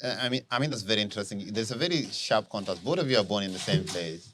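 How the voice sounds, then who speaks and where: A man hosting a casual interview, asking lively questions with many restarts. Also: lowish voice, rapid-fire, noisy background. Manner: stammering restarts, animated questions. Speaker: host.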